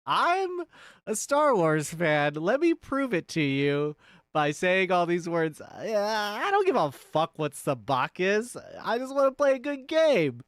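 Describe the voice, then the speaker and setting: A man mockingly voicing a nerdy, defensive gamer reviewer, complaining loudly in an exaggerated way in a casual stream. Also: high-pitched, big pitch swings, a little slow, dry recording, clean studio sound, voice pushed up, exaggerated voice. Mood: mocking, exasperated.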